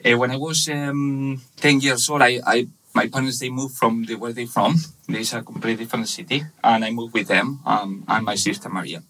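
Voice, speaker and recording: A man in his thirties from Spain with a Spanish accent, speaking English as a second language, telling his story haltingly in a casual interview with many fillers. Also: mid-range voice, steady tone, fairly bright tone, low-quality recording. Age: 30s. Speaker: guest, webshop manager.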